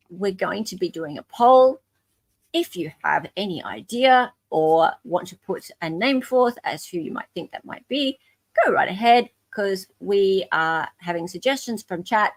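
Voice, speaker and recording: A woman's voice: medium pitch, animated, unhurried, no echo. A woman with an Australian accent hosting a casual live group chat in a chatty, informal, moderating way. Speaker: livestream host.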